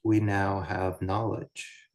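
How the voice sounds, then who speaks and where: A man guiding a meditation as a teacher, speaking in measured, deliberate short phrases with pauses between them. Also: low voice, flat delivery, vocal fry. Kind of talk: guided meditation, unscripted Buddhist teaching.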